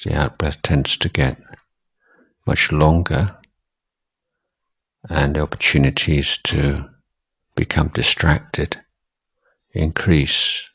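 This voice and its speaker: A man with a husky voice giving guided meditation instruction as a teacher, speaking in short, deliberate phrases with long pauses. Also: a bass voice, flat delivery, fairly bright tone. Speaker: Buddhist monk, meditation teacher.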